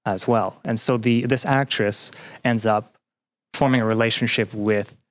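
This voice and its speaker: A man speaking as a podcast guest, explaining things casually with hesitant, thoughtful, halting phrasing. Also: medium pitch, fairly even intonation. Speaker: podcast guest, game developer and researcher.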